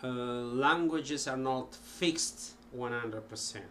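Italian-accented guy, middle-aged, talks real slow with lots of ums, desk webcam at home, bit of faint hum. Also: medium pitch, pushed and bright. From Italy. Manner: slow, rambling, many ums and restarts. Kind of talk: casual talk to camera. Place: cluttered home music room.

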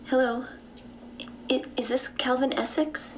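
An actress playing a mysterious, reclusive woman with occult leanings in an audio drama, measured and calmly insistent. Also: high-pitched, slight background noise, rough recording. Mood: secretive, insistent. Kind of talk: naturalistic audio drama acting.

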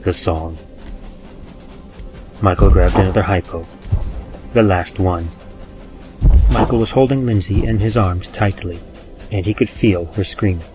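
A man's voice: sits low, unhurried, pauses often. A man reading a scripted horror story in a dramatic, old-time radio style. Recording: muffled recording with music. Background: music bed.

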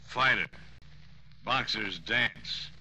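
An actor playing a gruff, proud former heavyweight fighter in an old-time radio drama, blunt and curt. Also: male voice, lowish voice, very slow, bright, forceful tone, full character voice, low-quality recording. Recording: old radio recording, faint noise.

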